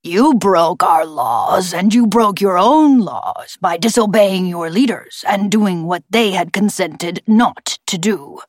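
A woman voicing a proud, distrustful male talking raven in an audiobook, with a forced gravelly voice and a formal, accusing way of speaking. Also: medium pitch, slow, few pauses, dry recording, clean studio sound. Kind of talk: audiobook character voice.

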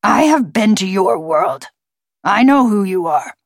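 A woman voicing a proud, distrustful male talking raven in an audiobook, with a forced gravelly voice and a formal, accusing way of speaking. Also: mid-range voice, very animated, unhurried, no echo, clean studio sound. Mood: suspicious, demanding. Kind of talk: audiobook character voice.

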